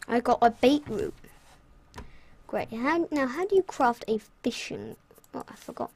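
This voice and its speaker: A woman's voice: fairly high pitch, expressive, measured pace, vocal fry, a little mellow, breathy edge. A young British woman chatting casually through her gameplay, rambling with self-mocking asides. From Britain. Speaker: gaming channel host.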